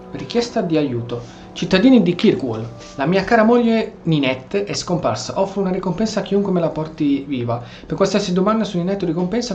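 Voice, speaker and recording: A man's voice: a little high, darkish tone. Echoey man commenting on a game. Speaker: gameplay streamer. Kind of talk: casual game commentary, reading and translating dialogue. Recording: echoey, dull mic with faint noise.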